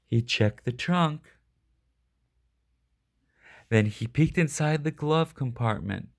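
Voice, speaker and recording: Guy reading a kids book out loud, really slow and expressive, dramatic emphasis on words. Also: medium pitch. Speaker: storybook reader. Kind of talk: expressive children's picture-book read-aloud.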